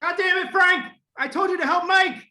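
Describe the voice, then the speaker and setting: An actor playing a furious, bossy company chief barking urgently in an old-time radio drama. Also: male voice, very high voice, moderate pace, sharp tone, roomy sound, exaggerated voice.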